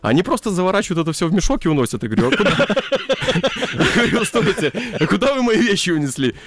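A man's voice: sits high, big pitch swings, fast, a bit bright, dry recording. A man, a guest musician in a radio studio, telling a personal story with laughter. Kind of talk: casual storytelling interview.

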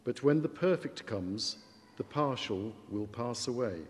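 A man's voice: mid-range voice, animated, measured pace. A man reading a scripture passage aloud during a wedding in a large stone church. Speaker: reader of a scripture lesson. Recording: long church reverb.

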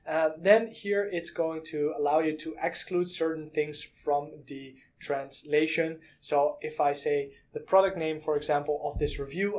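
Man, slightly high voice, step-by-step explainer, desk webcam, small room. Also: a little mellow. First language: Dutch. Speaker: product reviewer. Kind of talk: screen-share software walkthrough.

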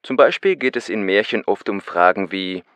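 A man's voice: on the low side, expressive, moderate pace, fairly bright tone, dry recording. A man playing an earnest radio caller in a scripted language-course dialogue. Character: man caller who loves books.

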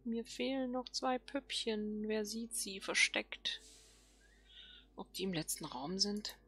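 A woman's voice: medium pitch, takes it slowly, bright, forceful tone, husky, soft background music. A woman hosting a let's play, thinking aloud casually and murmuring questions to herself as she plays.

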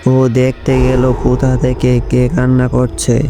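A man narrates a scripted horror story. His voice is mid-pitched and fairly flat, moving at a slow pace over loud music.

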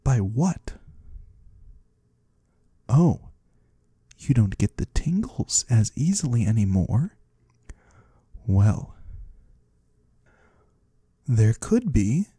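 ASMR roleplay casting: man as soothing, mysterious male trader from the void, very dark husky tone, formal and courteous. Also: fairly low pitch, big pitch swings, very slow, long pauses, no echo, clean studio sound, heavily acted. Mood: gentle concern. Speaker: ASMR performer. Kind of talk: ASMR roleplay, soft-spoken.

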